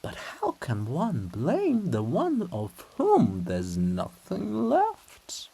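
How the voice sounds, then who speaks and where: A man voicing a gleefully morbid farce chorus, declaiming in drawn-out, exclamatory theatrical style. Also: fairly high pitch, wildly swooping pitch, vocal fry, dark tone, husky, full character voice. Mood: morbid glee. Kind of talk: theatrical dramatic reading.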